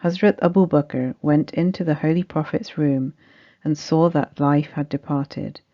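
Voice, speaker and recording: A woman's voice: deep voice, fairly even intonation, measured pace. Woman reading scripted study, dull recording. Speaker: reader of a religious study text. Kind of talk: scripted narration of Quran study, reading aloud.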